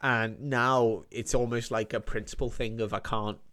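A man's voice: medium pitch, steady tone, moderate pace, fairly bright tone. A British man talking casually as a film podcast host. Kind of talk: casual chat.

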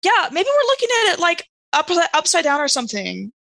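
A woman with a fairly high, bright voice plays a tabletop game. She talks informally and with animation, slipping in and out of character, recorded dry and close. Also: huge pitch swings, a little fast, gravelly, dry recording. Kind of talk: casual in-game chat, half in character.

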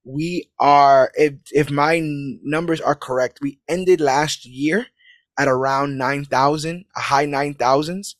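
A man's voice: fairly high pitch, fairly even intonation, slow, no echo. A man hosting a podcast, casually rambling through an upbeat outro full of plugs and calls to support the show. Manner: rambling, upbeat, hype-driven promo talk. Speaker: podcast host.

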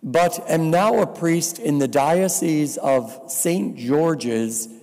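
A man from New Jersey preaching a sermon as a visiting priest, slow and deliberate with dry asides. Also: fairly high pitch, darkish tone. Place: church. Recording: reverberant church hall.

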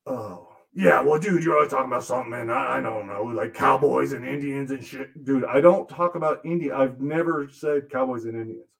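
Guy doing a sarcastic demon puppet, gravelly, cusses a lot, mid pitch. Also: expressive, a little fast, hardly any pauses, a bit bright. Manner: crude, grumbling, sarcastic. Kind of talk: comic monologue in character.

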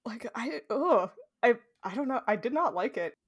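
A woman's voice: a little high, expressive, moderate pace, husky. A woman chatting casually about a fantasy novel, giggling often and filling her talk with "like". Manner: giggly, chatty, lots of likes. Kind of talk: casual book-club chat.

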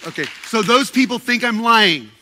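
Joking guy giving a talk into a handheld mic, high voice, keeps restarting his words. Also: animated, moderate pace, background noise. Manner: playful, joking, often repeats and restarts words. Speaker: speaker addressing a group. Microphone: handheld microphone.

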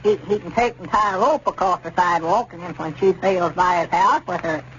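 An actor playing a naive, contrary old rural Arkansas storekeeper with a Southern accent in a folksy, hesitant 1930s radio comedy style. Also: male voice, high-pitched, fairly quick, fairly bright tone. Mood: pondering. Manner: folksy, hesitant, stubbornly literal. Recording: dull, noisy 1930s radio recording.

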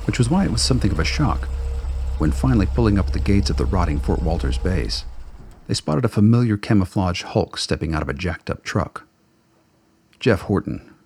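A man narrating a radio drama in a steady, deliberate storytelling manner. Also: low voice, gravelly, a little hiss or hum. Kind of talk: scripted narration.

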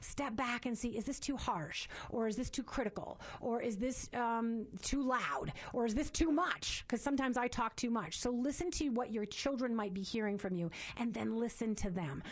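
A woman in her forties hosting a faith-based parenting talk, loud and fast-talking, earnest and prone to repeating words as she restarts. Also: fairly high pitch.

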